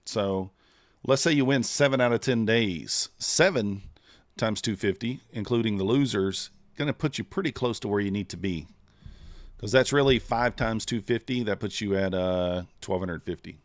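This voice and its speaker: A man's voice: fairly low pitch, moderate pace, no echo. A man streaming live, chatting casually and thinking aloud with many fillers as he trades. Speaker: streamer trading futures live.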